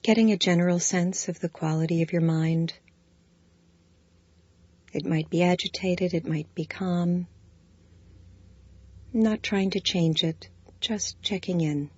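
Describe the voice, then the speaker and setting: A woman with a notably low, deep voice guides a body scan meditation in slow, soft, calm phrases. The recording is dry and close, with a slightly dull, muffled tone. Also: husky, no echo.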